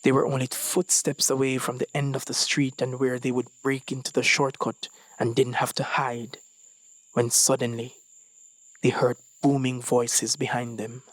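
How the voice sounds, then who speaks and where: A man reading a short story as scripted dramatic narration, with deliberate phrasing and dramatic pauses. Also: medium pitch, little pitch movement, no room sound, poor audio. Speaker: story reader.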